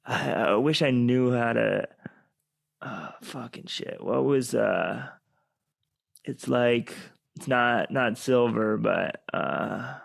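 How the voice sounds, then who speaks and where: A man playing a crude, sneering young slacker with halting, foul-mouthed banter in an amateur radio play. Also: mid-range voice, gravelly, heavily acted. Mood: scornful. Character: crude, sneering stoner slacker guy, bickering with his buddy.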